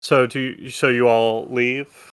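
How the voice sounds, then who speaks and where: A man's voice: medium pitch, flat delivery, takes it slowly, fairly bright tone, clear, resonant tone, no room sound. A man narrating a tabletop roleplaying game as game master, improvising in a loose, casual way.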